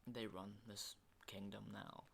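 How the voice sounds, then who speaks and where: A man playing an unhinged, menacing survivor of a zombie apocalypse in a halting, intimate ASMR roleplay, in breathy whispers. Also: little pitch movement, a little hiss or hum. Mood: grim, bitter. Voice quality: breathy whisper with low creaky undertones.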